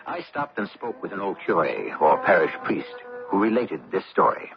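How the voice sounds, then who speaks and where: A man's voice: low voice, very animated, normal speed. A man hosting and narrating a scripted radio mystery drama. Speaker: host and narrator. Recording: dull lo-fi radio recording, music behind.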